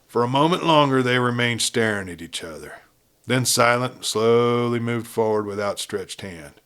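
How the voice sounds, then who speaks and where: A man's voice: mid-range voice, normal speed, a bit bright, clear, resonant tone. A man narrating a Western novel as an audiobook storyteller, reading from a script.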